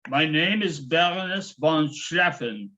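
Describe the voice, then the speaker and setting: A man's voice: on the high side, animated, slow pace, sharp tone, heavily acted. A man voicing a stern German woman hotel manager who talks in a clipped, vaguely menacing way. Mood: cold, clipped. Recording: dull lo-fi recording.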